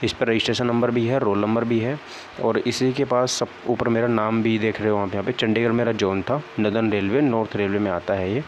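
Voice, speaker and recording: A man's voice: on the low side, fairly even intonation, brisk. A young man talking casually to viewers as he explains things, sounding disappointed and often adding small check-in tags. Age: young adult. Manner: conversational, often checks in with "okay, right" tags. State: downcast, disappointed. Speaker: exam-prep vlogger. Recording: dull lo-fi sound, faint background noise.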